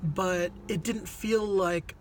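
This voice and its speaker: A man's voice: high voice, steady tone, slow pace, a loud crowd. A man giving a casual conversational movie review, hesitant and rambling as he searches for words. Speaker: film reviewer on a video channel.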